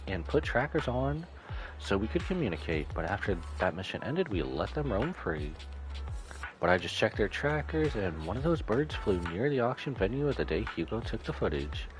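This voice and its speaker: A man's voice: mid-range voice, lightly acted, low-quality recording. A man voicing a polite, earnest young woman informant from a video game as he reads her explanations aloud. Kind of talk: reading game dialogue aloud in character. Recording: faint game music behind.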